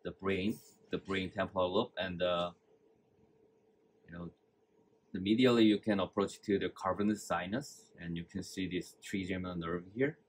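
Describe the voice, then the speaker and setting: Soft-spoken man from South Korea. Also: deep voice, fairly even intonation, slight background noise. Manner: soft, halting explanation in simple English. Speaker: visiting surgeon.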